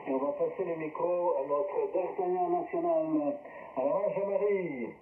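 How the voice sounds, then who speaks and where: A man's voice: fairly high pitch, measured pace, a little hiss or hum. A man hosting an amateur radio net, chatting casually and passing the turn between operators over a shortwave link. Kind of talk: casual radio contact chat. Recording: roomy narrowband shortwave radio transmission.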